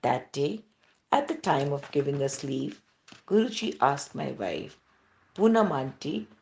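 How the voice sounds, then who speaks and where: A woman with an Indian accent reading a devotional testimony aloud as scripted narration, in an expressive storytelling manner. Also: a bass voice, a little slow, gravelly, slight background noise.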